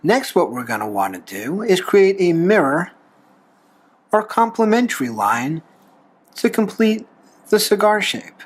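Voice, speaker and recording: Lively higher-voiced man teaching drawing. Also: a little slow, pauses often, breathy edge. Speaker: host of a drawing tutorial show, reverend. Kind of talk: step-by-step drawing instruction. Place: talking from behind a camera while drawing.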